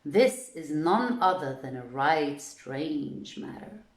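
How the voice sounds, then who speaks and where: Woman playing an imperious vizier, slow and echoing. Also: sits low, animated, pitched below the performer's own voice. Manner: formal, archaic, ordering. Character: commanding male vizier, imperious royal official.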